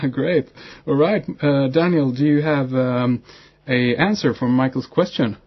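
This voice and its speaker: Radio host guy, kinda husky, lots of uhs and restarts, muffled sound. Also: medium pitch. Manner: halting, many fillers and restarts, agreeable. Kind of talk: hesitant radio interview chat.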